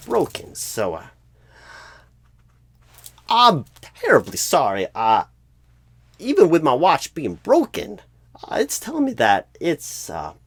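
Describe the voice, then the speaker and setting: Man acting as a clueless male job recruiter, scatterbrained, breathy husky voice, slow halting delivery, odd stresses, close dry sound, ASMR roleplay. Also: a little high, no room sound, full character voice. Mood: flustered, apologetic. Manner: halting, oddly stressed phrases in a Christopher Walken-style impression.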